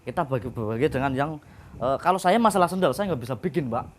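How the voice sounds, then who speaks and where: A man's voice: mid-range voice, animated, fast talker, slight background noise. A man answering interview questions in a chatty way, politely addressing the interviewer.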